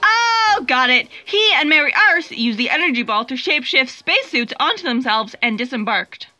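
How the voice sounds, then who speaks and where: An eager female fact-checker, played by an actress with a high, extremely bright voice. She is earnest, fussy about details and a little flustered, narrating in a sci-fi audio comedy. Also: big pitch swings, normal speed, heavily acted. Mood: explaining. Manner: hesitant openings, earnest, detail-happy.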